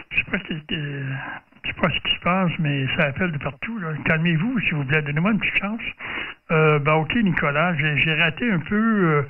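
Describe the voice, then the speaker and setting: A man running a group radio contact, halting and repeating himself as he casually directs the other operators. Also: a little high. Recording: noisy phone-like radio audio, distant, clicks.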